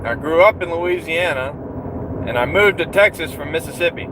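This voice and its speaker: A man's voice: fairly high pitch, animated, normal speed. A man from Florida, casually chatting with his viewers while driving, answering their questions in a rambling, easygoing way. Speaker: truck driver live-streaming to viewers. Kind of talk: casual live-stream chat while driving. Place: inside a vehicle on the road. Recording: noisy road sound from a vehicle.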